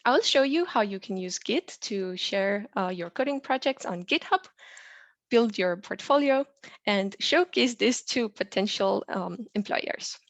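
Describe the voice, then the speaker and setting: A young adult woman from Romania, a software engineer, presents a tutorial in a clear, explanatory way with frequent "uh" and "um" fillers. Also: mid-range voice, lively intonation, a little slow, no echo. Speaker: software engineer teaching a lesson.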